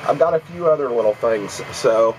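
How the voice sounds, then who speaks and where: A man's voice: mid-range voice, expressive, moderate pace, music in the background, poor audio. A man with a congested voice, rambling casually with lots of ums as he vlogs about his video game pickups at home. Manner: rambling, many ums and restarts. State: congested from allergies. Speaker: video game collecting vlogger.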